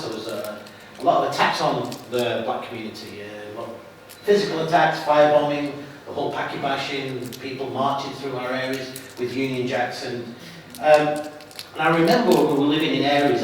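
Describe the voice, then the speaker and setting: A middle-aged man with a Lancashire accent speaks earnestly to a gathered audience, often circling back to restart his phrases. He is heard from far off in a very echoey hall, with a faint hiss underneath. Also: medium pitch. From England, Lancashire. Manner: earnest, halting with frequent restarts. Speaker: guest speaker. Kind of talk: public talk to an audience. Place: hall at an evening event.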